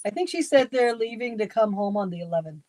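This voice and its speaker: Mellow easygoing woman telling stories. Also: mid-range voice, expressive, quick pace, no room sound. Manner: easygoing, tells little stories, soft trailing endings. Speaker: co-host of a crafting livestream. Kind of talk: casual chat.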